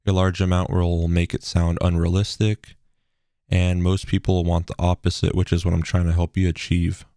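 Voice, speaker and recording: A man explaining software as a tutorial host in a measured, instructional way. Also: deep voice, level tone, no room sound, clean studio sound.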